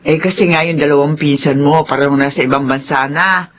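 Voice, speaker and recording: A woman's voice: low voice, steady tone, fairly quick, natural voice. A woman playing a kindly, lonely older aunt in a Filipino radio drama. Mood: warm, lonely.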